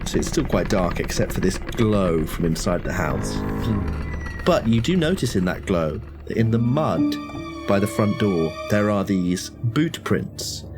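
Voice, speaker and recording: A man's voice: on the low side, very animated, measured pace, music in the background. A man narrating a tabletop horror game as game master, hesitant with many restarts.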